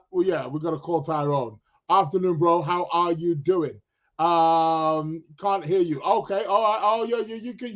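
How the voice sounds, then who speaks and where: A chatty man hosts a live stream with a fairly high voice that sounds croaky and a little stuffed up. He sounds upbeat and greets his listeners one by one. The recording is dull and lo-fi. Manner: chatty, upbeat, gives viewers shout-outs. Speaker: podcast host.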